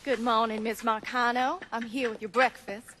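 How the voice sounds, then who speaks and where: Casting: polite, attentive maid-like attendant for a game; high-pitched, cheery and brisk. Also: female voice, fairly even intonation, sharp tone, a little hiss or hum. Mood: cheerful. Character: polite, brisk female attendant serving breakfast. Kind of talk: video game cutscene acting.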